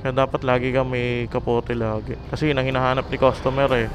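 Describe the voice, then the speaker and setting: A man's voice: medium pitch, little pitch movement, fast, music in the background. A man, a motorcycle taxi rider and vlogger, chatting casually through a helmet intercom as he rides. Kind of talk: casual chat with a passenger while riding. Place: outdoors on a motorcycle in traffic. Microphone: helmet headset intercom.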